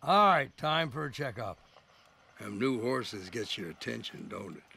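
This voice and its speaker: A man voicing a gruff, wise old coupe in a video game, with a dry, folksy manner. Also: medium pitch, expressive, measured pace, pushed and bright, no room sound, heavily acted. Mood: dry, challenging. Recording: noisy vehicle ambience behind.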